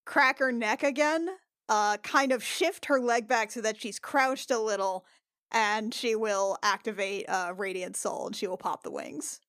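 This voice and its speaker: High-voiced woman playing a tabletop game. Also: fairly bright tone, clean tone, no room sound, clean studio sound. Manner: halting, thinking aloud, sweary. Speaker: player in a tabletop RPG podcast. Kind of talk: casual improvised game narration.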